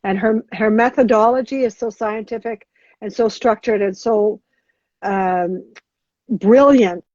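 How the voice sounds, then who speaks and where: Halting middle-aged woman, lo-fi sound. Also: fairly high pitch, a little mellow, no room sound. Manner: hesitant, many restarts and fillers. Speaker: guest. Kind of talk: podcast interview. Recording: dull lo-fi sound.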